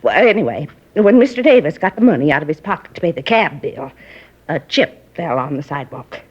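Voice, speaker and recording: An actress playing a gossipy, nosy middle-aged neighbor who rambles and confides in folksy grammar, in a 1950s radio drama. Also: female voice, low voice, sing-song, a little fast, darkish tone, breathy edge, full character voice. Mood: gossiping. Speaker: apartment neighbor questioned by police. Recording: dull lo-fi 1950s radio recording.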